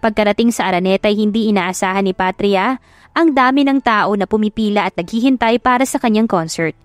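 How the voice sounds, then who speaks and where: A woman's voice: mid-range voice, brisk, no room sound. Filipino female audiobook narrator for scripted romance, dry sound, music bed. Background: background music.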